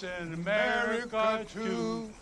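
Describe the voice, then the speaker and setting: A teasing, off-key elder. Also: male voice, sits high, steady tone, slow, pushed and bright, heavily acted. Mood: wailing. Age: 70s.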